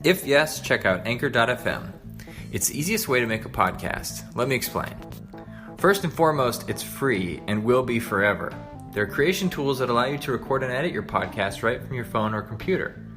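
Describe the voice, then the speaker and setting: A man's voice: mid-range voice, expressive, fairly quick, music in the background. A man hosting a podcast, reading scripted narration. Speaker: podcast host.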